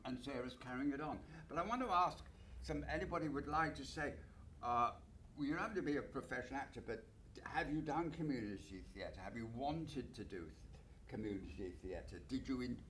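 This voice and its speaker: A male actor moderating a panel, talking in loose, rambling sentences and often stopping to hunt for a word. His pitch is middling. A faint room hum sits behind him. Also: fairly bright tone. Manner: rambling, gropes for words with many fillers and restarts.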